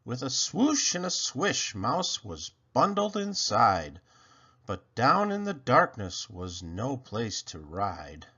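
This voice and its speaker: Male children's read-aloud narrator, husky and bright, highly animated delivery, slightly dull recording. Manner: theatrical, deliberate phrasing. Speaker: children's storybook reader. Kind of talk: expressive rhyming picture-book read-aloud.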